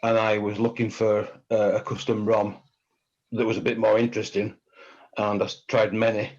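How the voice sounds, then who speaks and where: A man chatting on a video call in a halting way, often repeating words as he tells his story. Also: on the low side, little pitch movement, highs cut off. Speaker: community member. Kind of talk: casual video call chat.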